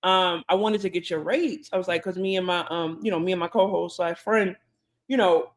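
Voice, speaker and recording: A woman co-hosting a podcast, telling stories in an animated way and acting out both sides of conversations. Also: on the low side, normal speed. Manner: animated, acts out conversations in quotes. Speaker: podcast co-host. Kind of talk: casual storytelling chat.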